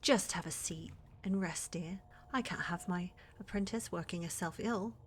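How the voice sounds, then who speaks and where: A woman playing a flirty, scheming witch in a fantasy ASMR roleplay, with a breathy, teasing, coaxing delivery that often drops to whispers. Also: lowish voice, moderate pace, slight background noise, natural voice. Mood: soothing, coaxing. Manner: teasing, coaxing, sugary endearments with theatrical menace. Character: flirty, scheming witch who runs a potion shop. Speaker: voice actor in audio roleplay.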